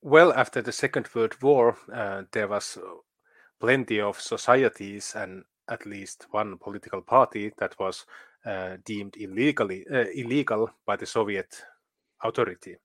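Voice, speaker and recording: A man from Finland, a guest in a political interview, talking haltingly with many fillers and restarts. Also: on the low side, no room sound.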